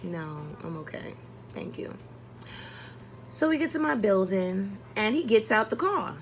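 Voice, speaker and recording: A woman's voice: mid-range voice, sing-song, measured pace, slight background noise. A young adult woman telling a personal story casually, exasperated and blunt, acting out dialogue and swearing often.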